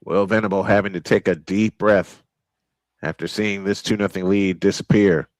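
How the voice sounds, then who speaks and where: A man calling live baseball play-by-play, excitable and prone to repeating his points. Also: fairly low pitch, no echo, poor audio. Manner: excitable, repeats points, quick calls of action. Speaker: play-by-play announcer. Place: home sports studio.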